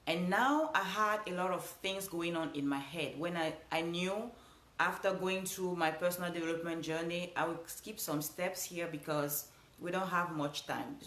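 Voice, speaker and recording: A woman's voice: lowish voice, fairly bright tone, reverberant. A woman with a Cameroonian accent giving an earnest motivational talk, repeating phrases for emphasis. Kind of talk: motivational speech.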